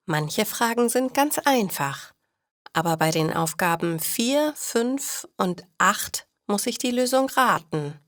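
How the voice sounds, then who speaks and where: A woman's voice: medium pitch, no room sound, studio quality. A woman voicing a breezy, overconfident teenage schoolgirl in a storybook, carefree and reassuring.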